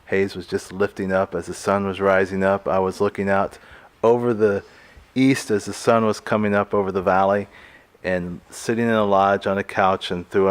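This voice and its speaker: Guy with kinda low voice telling stories in an interview, playful, bit mock dramatic. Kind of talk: casual storytelling in an interview.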